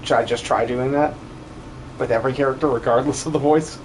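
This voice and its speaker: A man chats casually on a stream in a goofy, teasing way. His pitch sits in the middle range. There is clear noise behind his voice. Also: fairly even intonation, fairly quick, poor audio. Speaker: streamer and amateur voice dubber. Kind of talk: casual joking livestream chat.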